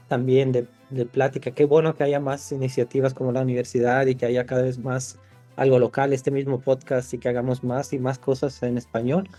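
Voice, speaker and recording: Screenwriter guest from Italy, male, relaxed dark timbre, reflective with restarts, faint music bed. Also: medium pitch. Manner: thoughtful, many restarts and fillers. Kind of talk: podcast interview.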